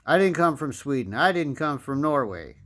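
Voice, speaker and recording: A man's voice: a little high, sing-song, normal speed, fairly bright tone. An American man in his fifties giving an emphatic, lecturing opinion monologue as a political commentator, repeating phrases for stress. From United States. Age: 50s.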